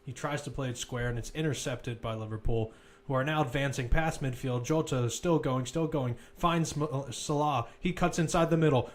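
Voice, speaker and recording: A man's voice: medium pitch, normal speed. A man commentating live on a football match, mixing play-by-play calls with informal, conversational analysis.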